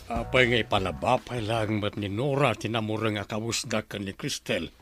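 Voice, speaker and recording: A man's voice: lowish voice, normal speed, fairly bright tone, faint music behind, close to an everyday voice. A man with an Ilocano accent playing a grumbling older grandfather in a radio drama. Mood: exasperated.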